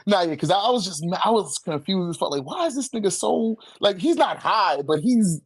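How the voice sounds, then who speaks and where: A man's voice: sits high, lively intonation, moderate pace, slightly rough, dry recording. A man in a casual debate, speaking informally with heavy slang and swearing. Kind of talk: casual debate about manga character rankings.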